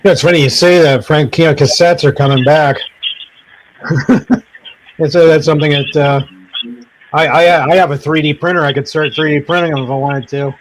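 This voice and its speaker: A man with a fairly high voice hosts a casual podcast, rambling from one anecdote to the next. He laughs easily and restarts his sentences, with a faint noise floor under the recording. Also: fairly even intonation, normal speed, long pauses, poor audio. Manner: rambling, laughs often, repeats himself. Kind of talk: casual chat.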